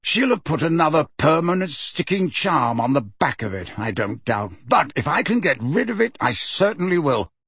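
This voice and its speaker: A man voicing a bitter grown man who speaks coldly and impatiently, a little higher than his narration. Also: big pitch swings, moderate pace, few pauses, fairly bright tone, husky, no echo, full character voice. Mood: cold, curt. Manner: cold, curt, impatient. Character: bitter cold-spoken grown man, house heir.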